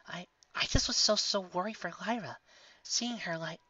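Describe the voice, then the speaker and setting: A man voicing an earnest, protective young female pony who speaks softly and haltingly in a story reading. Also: high-pitched, no echo, distant mic, muffled recording, pitched above the performer's own voice. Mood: apologetic. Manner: soft, halting.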